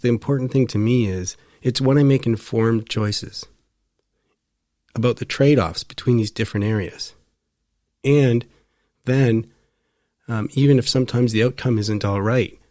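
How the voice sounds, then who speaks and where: Male podcast host, lower register, thoughtful personal monologue, deliberate phrasing, close dry sound. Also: a little mellow, no room sound.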